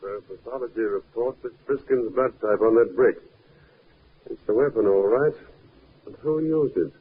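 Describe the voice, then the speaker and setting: An actor playing a calm, shrewd police inspector in a 1950s radio drama, measured, probing and understated. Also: male voice, mid-range voice, slightly rough, lightly acted. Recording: narrow-band, hissy 1950s radio recording.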